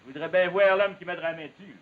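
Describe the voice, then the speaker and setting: A man's voice: high-pitched, steady tone, quick pace, natural voice. An actor playing a brash, openhanded logging man with a Quebec French accent and folksy, boastful talk in a period television drama. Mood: easygoing. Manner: boastful, folksy rural speech. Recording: muffled, hissy old 1950s television soundtrack.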